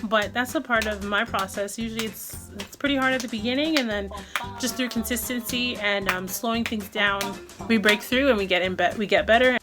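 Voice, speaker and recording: Opera singer lady chatting to camera, laughs a lot, soft music under it. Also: fairly high pitch, brisk. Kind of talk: vlog talking to camera.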